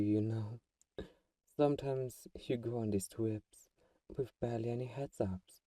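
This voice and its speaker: A man playing a doting but secretly manipulative vampire friend in an ASMR roleplay, soft-spoken and fussing, with a mellow, dark tone. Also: on the low side, no echo, far from the mic, lightly acted.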